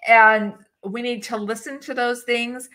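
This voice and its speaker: A woman giving HR advice in a live webinar discussion, talking in an animated way, acting out quoted dialogue and laughing often. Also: on the high side, unhurried. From English-speaking country. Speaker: HR expert guest.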